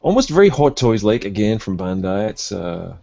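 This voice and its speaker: Low gravelly guy hosting a casual toy chat, raspy, dry kinda muffled audio. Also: big pitch swings, normal speed, mellow, dry recording. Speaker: podcast host. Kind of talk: casual chat about collectible toys.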